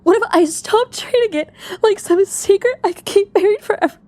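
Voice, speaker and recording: A woman's voice: very high voice, darkish tone, dry recording. A woman acting in an audio drama as a guilt-ridden young woman searching for her missing sister. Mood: anguished, tearful.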